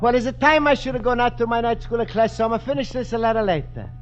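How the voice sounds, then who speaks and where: An actor playing a naive, cheerful Italian immigrant shopkeeper, speaking earnest broken English in a singsong way in a 1950s radio comedy. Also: male voice, very high-pitched, fast, faint music behind. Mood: earnest, amused. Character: cheerful naive Italian immigrant man, antique shop owner. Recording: dull old 1950s radio recording.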